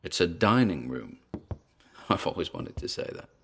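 A man playing an uneasy paranormal investigator in an audio drama, muttering hesitant observations onto tape as he explores, with a gravelly voice. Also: deep voice, very animated, a little slow, a bit bright, heavily acted. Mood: curious, observant. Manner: hesitant, muttering observations with sighs and trailing asides. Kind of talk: audio drama found-recording monologue.

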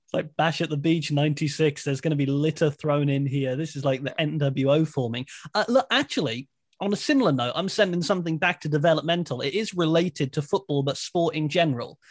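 A playful English man co-hosts a casual podcast, wry and chatty. His voice sits a little high for a man, recorded dry and close with no room sound. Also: a little fast, no echo. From England. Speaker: podcast co-host. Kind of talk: casual chat.

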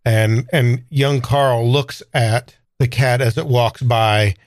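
A man with a medium-pitched voice narrates a roleplaying scene. He tells it descriptively and conversationally, in a dry recording without room echo. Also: steady tone, takes it slowly. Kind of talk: improvised storytelling narration in a tabletop game.

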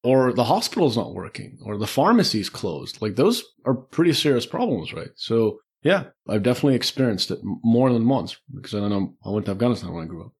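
A man's voice: mid-range voice, very animated, fairly quick, few pauses, a little mellow, breathy edge. A man in his forties, a podcast guest casually telling personal stories in an informal way, acting out remembered thoughts as he goes. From Lebanon, Czech Republic and the UAE. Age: 40s.

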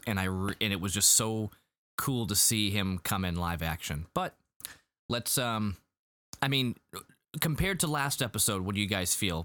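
Low-voiced man hosting a casual review chat. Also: no echo. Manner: relaxed, chatty, many fillers and restarts. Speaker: podcast host.

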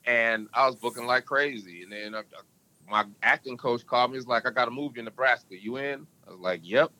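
A man talks through stories in an animated, emphatic way, with a bright, forceful voice. His pitch sits in a middle range, and he can drop it deep when he wants to. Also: brisk, rough recording. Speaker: podcast guest. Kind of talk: casual interview talk.

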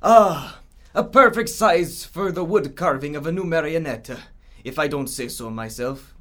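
A fussy, lonely old male woodcarver in an audio drama, played by a man. His voice sits high for a man. He rambles and grumbles with theatrical flair. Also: unhurried, fairly bright tone. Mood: wistful rambling.

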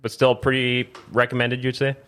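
A man with a medium-pitched voice hosts a casual gaming podcast. He rambles along informally, tripping on words and fixing them as he goes. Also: monotone, moderate pace, fairly bright tone, smooth. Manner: rambling, stumbles over words and corrects himself. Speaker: podcast host. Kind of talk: casual video game talk show with plugs and sponsor reads.

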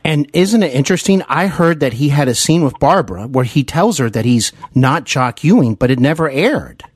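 An earnest male podcast host conducts an interview with an admiring, effusive manner. His pitch moves in lively swoops, and the recording is dry with little room sound. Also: moderate pace, slightly rough, dry recording. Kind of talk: celebrity interview.

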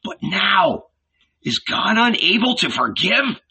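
A man voicing a gentle, earnest young male disciple who questions and pleads in a storybook reading. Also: high-pitched, very animated, slow, breathy edge, voice pushed up, full character voice. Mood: troubled, questioning.

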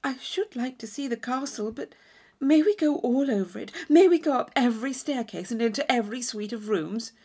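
Woman voicing an eager high-pitched young lady. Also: lively intonation, moderate pace, dark tone, husky. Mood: hesitant, anxious. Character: eager, naive, anxious young lady, sweetly earnest.

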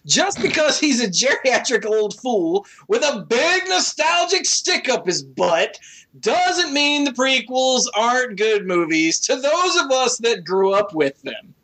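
A woman's voice: on the high side, very animated, a little slow, fairly bright tone, husky, low-quality recording. A woman in her thirties co-hosting a podcast, chatting casually and reading aloud in an amused, giggly manner. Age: 30s. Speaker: podcast co-host reading out reviews.

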